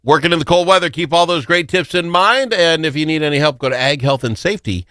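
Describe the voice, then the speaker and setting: A man's voice: fairly high pitch, quick pace, noisy background. A man hosting a radio show, chatting casually and cracking jokes at his guest. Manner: joking, teasing.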